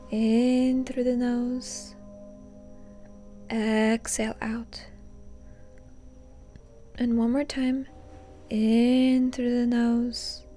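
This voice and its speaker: A woman leading a guided meditation in a soft, breathy, often near-whispered voice, calm and gently instructing. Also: fairly high pitch. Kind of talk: soothing guided meditation narration.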